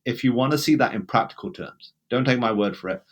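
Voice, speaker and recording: Emphatic British man, mid-pitched voice. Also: quick pace. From England. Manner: emphatic and patriotic, speaks in bursts with restarts. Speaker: guest. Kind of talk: conversational interview.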